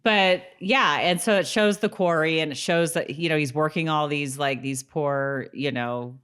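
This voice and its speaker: A woman with a notably low voice speaks in an informal, conversational way. She sounds like a podcast co-host recapping a show with a friend. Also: normal speed, fairly bright tone, clear, resonant tone. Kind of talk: casual chat recapping a TV episode.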